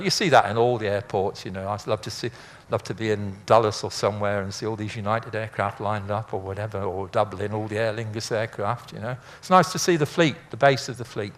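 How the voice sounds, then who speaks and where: An older man giving an informal illustrated lecture in a chatty, rambling, anecdotal way with dry humour. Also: lowish voice. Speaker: speaker, former air traffic controller.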